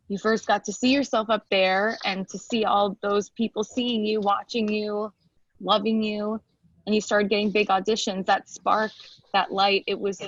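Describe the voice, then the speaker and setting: A woman's voice: medium pitch, a bit bright, dry recording. Woman acting hurt, blunt ex-partner, dull recording. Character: hurt, blunt ex-partner, a hard-working lawyer. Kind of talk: screenplay table read, naturalistic acting.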